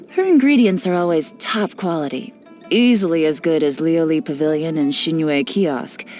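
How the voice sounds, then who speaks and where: An actress playing a cool, cunning female spy and information broker, speaking with confident, sly, measured delivery in game voice lines. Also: low voice, darkish tone. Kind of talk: scripted character voice lines.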